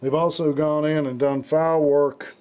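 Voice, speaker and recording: A man with a hoarse, raspy voice from the flu, hosting a casual knife show with rambling talk and many fillers. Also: fairly high pitch, flat delivery, normal speed, a little mellow, a little hiss or hum. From United States. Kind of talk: casual product demonstration.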